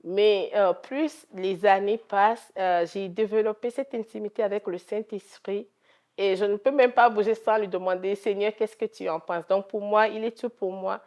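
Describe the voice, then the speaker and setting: A woman's voice: medium pitch, steady tone, moderate pace, darkish tone. Togolese-accented woman, devout interview guest. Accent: Togolese French. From Togo. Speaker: interview guest, gospel singer. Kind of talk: conversational TV interview answers.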